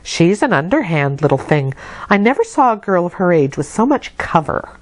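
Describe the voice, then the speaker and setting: A woman's voice: fairly low pitch, sing-song, moderate pace, no room sound. A woman voicing a stern, sanctimonious lady's maid in a storybook, scolding in a prim manner. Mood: disapproving.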